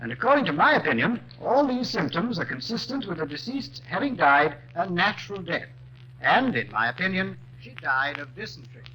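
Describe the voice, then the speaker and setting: Medical witness, highish sharp voice, confident, emphatic, old radio crime drama. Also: male voice, very animated, brisk, exaggerated voice. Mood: formal, confident. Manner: formal, emphatic testimony. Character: confident Victorian chemistry lecturer, medical expert witness. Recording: noisy old radio recording.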